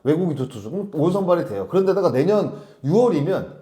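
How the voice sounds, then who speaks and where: Fast, lively man analyzing baseball. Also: fairly high pitch, reverberant. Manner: explains at length, hedges with "제가 봤을 때는". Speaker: baseball commentator. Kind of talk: casual analytical chat.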